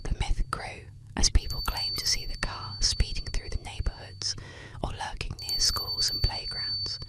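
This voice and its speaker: A woman narrating eerie legends as scripted ASMR in a hushed, deliberate, close-miked voice with gentle pauses. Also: extremely high pitch, monotone. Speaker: ASMR host and storyteller. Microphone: close-up microphone.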